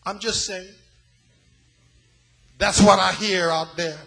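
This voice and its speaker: A man from West Africa preaching an impassioned sermon, dramatic, moving between hushed gravity and loud, repeated cries. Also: sits high, fairly even intonation, slow pace, gravelly, faint music behind. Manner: dramatic, swinging from hushed grave passages to shouted repetition.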